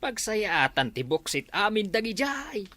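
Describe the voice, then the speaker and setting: A man's voice: high voice, sing-song, unhurried, sharp tone, dry recording, exaggerated voice. A man with an Ilocano accent playing a scheming village rascal in an amateur comedy drama. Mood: wary.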